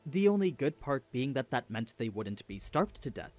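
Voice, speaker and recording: A man narrates a scripted story summary in a mid-pitched voice. His intonation moves up and down a lot, giving the recap an animated, storytelling shape. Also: moderate pace.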